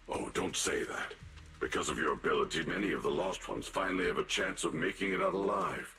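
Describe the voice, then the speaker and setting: A man playing a stern, principled warrior in a video game, speaking in a gravelly, solemn and formal way. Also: a bass voice, brisk, pushed and bright, faint music behind, exaggerated voice. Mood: reassuring. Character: principled, stern male warrior, calm protector of the innocent.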